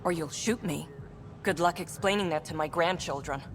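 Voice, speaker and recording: Actress as older game protester: lowish female voice, defiant, unbending. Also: moderate pace, background noise, natural voice. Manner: defiant, resolute. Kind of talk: scripted game dialogue.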